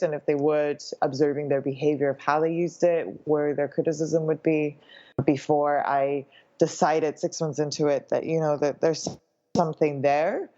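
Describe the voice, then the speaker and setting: A woman's voice: sits low, mellow. A woman born in Hong Kong and raised in Canada, giving thoughtful interview answers with many ums and uhs. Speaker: guest, founder of a company. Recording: slightly dull, lo-fi sound.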